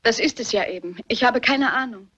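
Husky, earnest anxious daughter. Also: female voice, fairly high pitch, brisk, bright, forceful tone. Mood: agitated. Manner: earnest, dramatic. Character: anxious, secretive daughter of a troubled family. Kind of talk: German radio mystery play acting. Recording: muffled, dull radio play recording.

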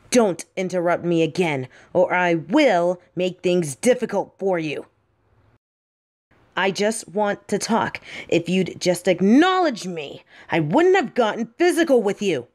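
A woman playing a possessive, brash but secretly smitten bully girl in an audio roleplay, speaking straight to the listener in a bossy, halting way. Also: fairly low pitch, expressive, darkish tone. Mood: insistent. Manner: bossy, taunting, flustered halting delivery.

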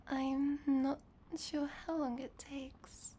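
A woman playing a shy, nervous and tender young vampire girlfriend in an intimate ASMR roleplay, stammering and often slipping into breathy whispers. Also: high-pitched, little pitch movement, slow pace, mellow, slight background noise. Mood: tender, bashful.

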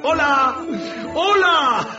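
A repentant old miser in a radio drama. The voice is emotional and exclamatory, swinging widely in pitch. The recording is dull, with music clearly underneath. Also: male voice, lively intonation, very slow, exaggerated voice. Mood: giddy joy. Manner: exclamatory, emotional, repeats words. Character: repentant old male miser, excitable and fervent. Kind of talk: theatrical radio drama acting. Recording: dull band-limited audio, music underneath.

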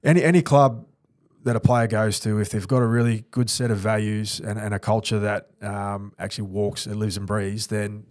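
A man's voice: lowish voice, level tone, normal speed, darkish tone, no room sound. A man in his thirties, born in New Zealand, with an Australian accent, a former rugby league player speaking earnestly and reflectively in a studio podcast interview. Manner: earnest, reflective, many restarts. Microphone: studio mic on boom arm.

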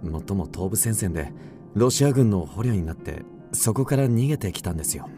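An actor playing a gentle, weary young officer on the run, polite and measured, in a radio drama. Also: male voice, on the low side, expressive. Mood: polite, resigned. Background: music.

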